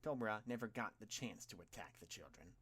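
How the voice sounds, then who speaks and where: A man's voice: lowish voice, animated, fairly quick, a bit bright, slightly rough. A man reading fan fiction as an audiobook narrator, in a dramatic scripted style.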